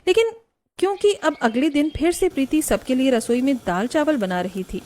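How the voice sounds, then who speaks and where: A woman's voice: sits high, animated, normal speed, a little mellow. A woman reading scripted narration for a family cartoon story.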